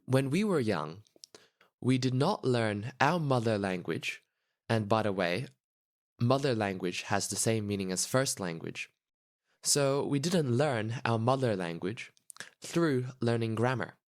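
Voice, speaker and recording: A man reads a prepared lesson script for language learners at an unhurried, deliberate pace. His pitch sits in an ordinary middle range, and he explains each point carefully and plainly. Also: lively intonation. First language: English. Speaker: podcast host, language teacher. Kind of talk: slow, clear scripted narration for learners.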